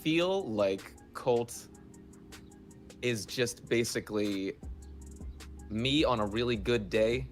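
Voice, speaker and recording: Animated man chatting over music. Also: medium pitch, takes it slowly, long pauses. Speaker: interview guest, voice actor. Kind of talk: casual chat.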